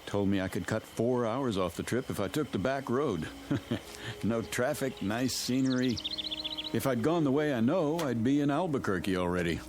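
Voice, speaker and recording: A man's voice: mid-range voice, lively intonation, moderate pace, a little mellow, slight background noise, natural voice. An actor playing a wry, conversational motorist on the road with his dog in a radio drama. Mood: friendly, dry.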